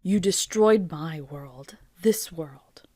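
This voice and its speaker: A woman playing a grave, protective psychic master in an audiobook, speaking in a breathy, intense whisper. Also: lowish voice. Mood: grave, accusing. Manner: hushed, slow, intense. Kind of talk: dramatic audiobook character acting.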